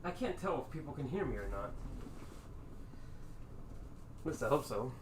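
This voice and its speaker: A man's voice: mid-range voice, sing-song, racing, noisy background. A man who is sick, talking casually and self-consciously into a desk microphone at home as he sets up a game stream, with restarts and fillers. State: sick, with a lowered voice. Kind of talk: casual live-stream chat. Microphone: desk USB microphone, with headphones on.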